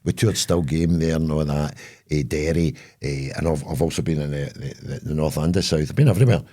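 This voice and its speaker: An older man with a Scottish accent, co-hosting a comedy podcast in a teasing, deadpan way, with drawn-out fillers. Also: extremely low pitch. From Scotland. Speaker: co-host. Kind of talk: casual comedic chat.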